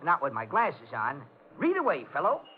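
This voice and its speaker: A man's voice: fairly high pitch, huge pitch swings, moderate pace. An actor playing a nervous, stammering, hapless American man mixed up with gangsters in an old-time radio comedy. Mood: breezy. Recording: muffled old radio recording with hiss.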